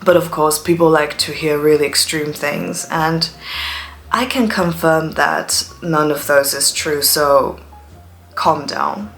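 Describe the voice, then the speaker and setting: Young woman, native Chinese speaker, mid-Atlantic English accent, lowish voice, chatty vlog, faint music behind. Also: slow, vocal fry, a bit bright. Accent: English learned from TV, between British and American. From China, Shanghai. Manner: chatty, dramatic, storytelling. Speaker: student vlogger. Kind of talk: casual monologue vlog.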